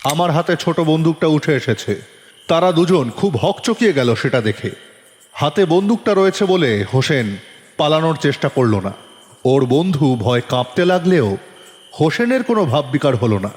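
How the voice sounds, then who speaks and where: A woman's voice: deep voice, very animated, moderate pace, a little mellow. A woman narrating a detective story as a dramatic scripted audio production. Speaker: narrator, also voicing the detective. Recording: long reverb with music behind. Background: music.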